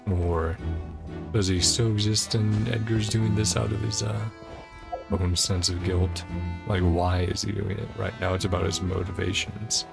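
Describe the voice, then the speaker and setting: Low, creaky-voiced man musing over a game. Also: little pitch movement, a little slow. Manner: musing, piecing a mystery together aloud. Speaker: streamer playing a video game. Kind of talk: casual gameplay commentary, thinking aloud. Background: game music.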